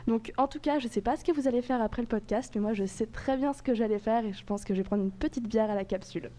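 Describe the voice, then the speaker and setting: Slightly high, mellow young woman reporter. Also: quick pace. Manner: relaxed, friendly, laughs at her own anecdotes. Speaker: podcast reporter. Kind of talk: casual chat.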